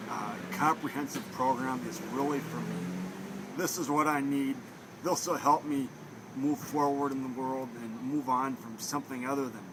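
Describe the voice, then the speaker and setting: A man's voice: medium pitch, background noise. A young man from Minnesota with a Minnesotan accent, chatting in an interview in a rambling, friendly way with lots of fillers. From Minnesota, USA. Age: young adult. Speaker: interviewee, trainee at a blindness training center. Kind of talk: casual interview talk.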